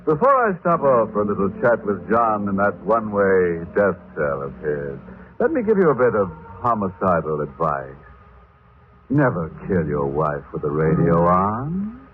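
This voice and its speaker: A man's voice: sits low, big pitch swings, normal speed, a little hiss or hum. A man playing a macabre, mocking radio horror host who delights in grim puns, in theatrical old-time style. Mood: gleefully macabre. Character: ghoulish, gleefully punning horror show host. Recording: muffled old radio recording.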